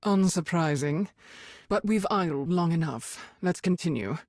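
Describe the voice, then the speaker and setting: A stern, sceptical Sith officer from a video game. The delivery is formal, curt and disapproving, in a close, dry recording. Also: female voice, on the low side, animated, a little slow, a little mellow, no room sound.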